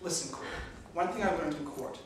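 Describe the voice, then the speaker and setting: An actor playing a wry, deadpan young lawyer husband who wants to go to bed, growing exasperated, in classic film acting. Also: male voice, on the high side, fast talker, noisy background, lightly acted. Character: young husband, dry, sleepy, unflappable lawyer. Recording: echoey film soundtrack from a distance.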